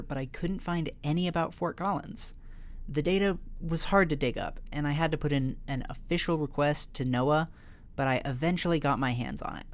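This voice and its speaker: Upbeat young student TV host. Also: medium pitch. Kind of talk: scripted segment narration.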